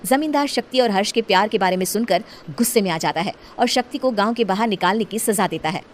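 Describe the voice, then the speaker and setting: A woman storyteller reading scripted narration for an animated tale. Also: medium pitch, quick pace, slight background noise. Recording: music behind the voice.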